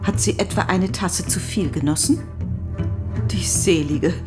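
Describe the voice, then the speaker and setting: Actress as slow, theatrical, morbid lady over music. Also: lowish voice, close to an everyday voice. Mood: mocking, agitated. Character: morbid, theatrical lady resident of a suicide hospice. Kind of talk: radio play acting. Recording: music under the voice.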